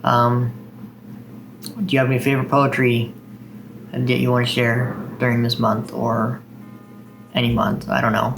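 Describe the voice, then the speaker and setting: A man's voice: mid-range voice, level tone, measured pace, vocal fry, pauses often, background noise. A man hosting a video of poetry readings. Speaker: video host reading poems.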